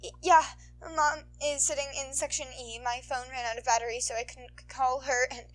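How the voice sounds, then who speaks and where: A nervous young teenage boy as a woman narrator acts him out for a fan fiction story. The voice is raised high and stammers politely, as if close to tears. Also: steady tone, normal speed, natural voice. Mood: distressed.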